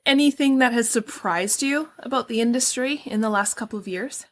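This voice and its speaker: A woman's voice: fairly high pitch, normal speed. A woman hosting an interview, speaking earnestly and conversationally about her own career. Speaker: podcast host.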